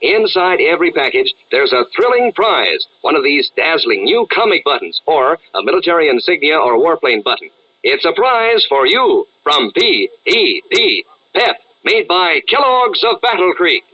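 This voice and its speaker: A man's voice: a little high, sing-song, normal speed. An American man as a radio commercial announcer, chatty and folksy in a 1940s ad style. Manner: chatty, salesmanlike. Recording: dull vintage radio sound.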